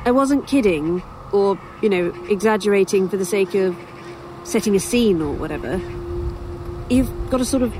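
A woman's voice: medium pitch, expressive, moderate pace, darkish tone, close to an everyday voice. A woman playing a weary, sardonic young writer in an audio drama, with a dry manner. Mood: wry, resigned. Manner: dry, sarcastic, hesitant. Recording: faintly noisy outdoor sound bed. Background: crows cawing, outdoor ambience.

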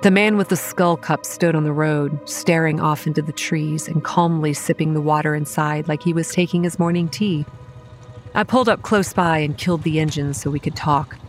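A woman playing a pragmatic young campground manager, narrating a horror audio drama in a measured, matter-of-fact and wry way. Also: low-pitched, fairly even intonation, faint music behind, lightly acted. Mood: wry, weary narration. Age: young adult. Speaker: narrator and lead character. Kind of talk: first-person scripted horror narration and dialogue.

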